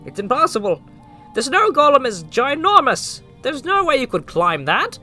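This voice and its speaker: An actor playing a timid, gentle toy lord, a jack-in-the-box, in a polite, hesitant, theatrical manner for an audio drama. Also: sits high, sing-song, normal speed, faint music behind, heavily acted. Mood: gloomy, wary. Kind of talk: amateur audio drama acting.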